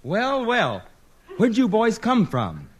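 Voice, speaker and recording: A daffy, wealthy eccentric in 1940s radio comedy style. He speaks high in a man's range with lively swings of pitch. His delivery is dotty and rambling, and he repeats himself. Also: takes it slowly, heavily acted. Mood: chuckling, eager.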